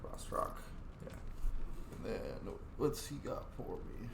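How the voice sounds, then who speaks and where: A man's voice: slow pace, noisy background. Casual gamer man in a roomy room. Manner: casual, thinking aloud. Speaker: game player. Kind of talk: let's play commentary, reading dialogue choices. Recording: roomy echo.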